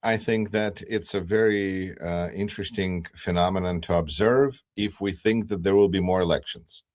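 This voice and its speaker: Male livestream guest, Russian first language, low register, halting delivery full of fillers. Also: steady tone, a little mellow. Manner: hesitant, many fillers and restarts. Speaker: guest commentator. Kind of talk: casual political talk. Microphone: remote livestream call.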